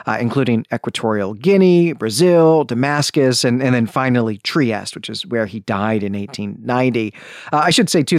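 A man's voice: medium pitch, dry recording, studio quality. A man hosting a podcast, giving an informal but prepared talk in an enthusiastic, bookish and emphatic manner. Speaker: podcast host.

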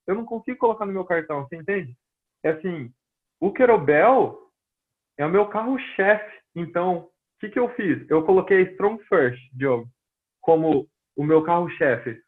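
A man's voice: sits high, animated, moderate pace. A man from Brazil with a Brazilian Portuguese accent, a personal trainer telling stories from his work in a casual interview and acting out the dialogue he quotes. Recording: tinny phone-line audio.

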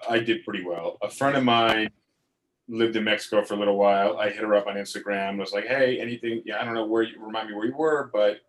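A man with a fairly low voice tells a casual, rambling story, circling back over his words and swearing freely. His delivery is loose and conversational. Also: steady tone, quick pace, low-quality recording. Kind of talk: casual chat.

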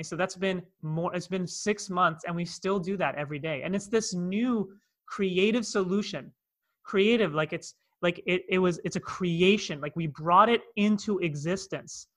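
Bright-voiced Canadian man coach. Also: sits high. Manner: earnest, storytelling with many asides. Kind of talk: podcast conversation. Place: in Cape Town, South Africa.

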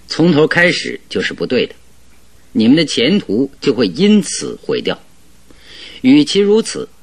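An actor playing a firm, authoritative gentleman detective giving advice in a Chinese radio drama. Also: medium pitch, slightly rough. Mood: firm, admonishing. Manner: firm, advising, measured.

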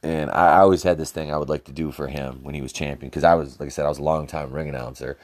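A man's voice: steady tone, fast talker, a little mellow. A man hosting a podcast, telling rambling, swear-filled stories about his time as a ring announcer, with lots of fillers and restarts, recorded on a cheap home microphone. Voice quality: very deep voice. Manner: laughs at his own stories. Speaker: podcast host and former wrestling ring announcer. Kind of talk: casual podcast storytelling.

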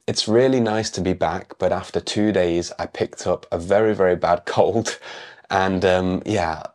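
Male podcast teacher, low voice, croaky from a cold, clear explainer. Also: fairly even intonation, unhurried, darkish tone. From England. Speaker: English teacher and podcast host. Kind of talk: podcast English lesson.

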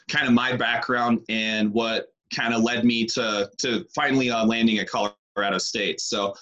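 A man's voice: medium pitch, fairly even intonation, fairly bright tone, highs cut off. Easygoing man in his thirties. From British Columbia, Canada. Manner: easygoing, unhurried, lots of pauses. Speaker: strength and conditioning coach, guest. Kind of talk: casual interview, recounting his career.

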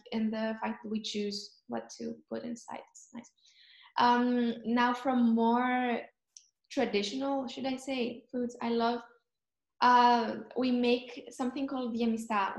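A young woman from Cyprus, chatting in an interview and often pausing to search for words. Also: a little high, creaky, reverberant. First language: Greek. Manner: thoughtful, searching for words, frequent ums. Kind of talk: casual chat.

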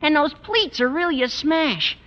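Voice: high-pitched, expressive, moderate pace, sharp tone. A performer playing an eager, earnest teenage schoolboy who gushes politely, in old radio comedy style on a dull vintage recording. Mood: earnest, flattering. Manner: gushing, wordy, over-polite. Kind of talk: 1940s-50s radio comedy acting.

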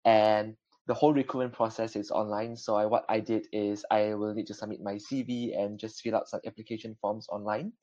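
A young man answers as a webinar panelist. His low voice hardly rises or falls, and he hedges often with filler phrases. The sound is dull and lo-fi. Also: flat delivery, fairly quick, dark tone. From Malaysia. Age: young adult. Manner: hesitant, many fillers like "kind of". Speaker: panelist, final-year law student.

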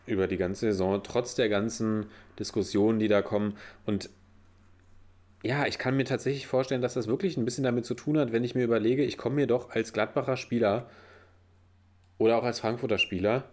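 A man's voice: mid-range voice, steady tone, fairly quick, a little mellow. A man chatting casually about football, pushing back and asking pointed questions.

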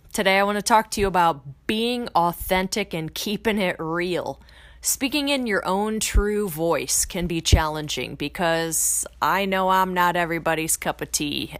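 A woman's voice: lowish voice, a bit bright, dry recording. A woman hosting a podcast, talking in a casual, blunt, motivational way that swears freely and rises into emphatic bursts. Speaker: podcast host.

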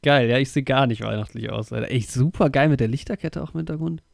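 A man's voice: mid-range voice, fast talker, vocal fry, no echo, studio quality. A young adult man with a slight cold, chatting casually and in slangy as a podcast co-host.

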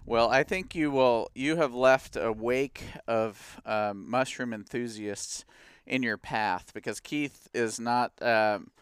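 A man hosting a podcast interview, friendly and chatty, with many quick acknowledgments. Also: medium pitch, clear, resonant tone, no room sound, studio quality. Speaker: host.

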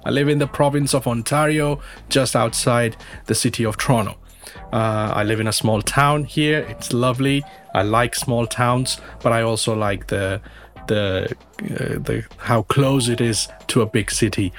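A man from western India, an English teacher, talking casually as an interview guest and often rephrasing himself. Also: medium pitch. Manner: rambling, repeats and rephrases himself.

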